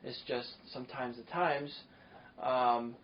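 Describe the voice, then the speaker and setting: A man's voice: mid-range voice, fairly even intonation, slow. A man hosting a podcast, talking in a rambling, enthusiastic casual way. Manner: rambling, enthusiastic, many fillers. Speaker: podcast host. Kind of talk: casual chat. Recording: dull lo-fi sound with faint noise.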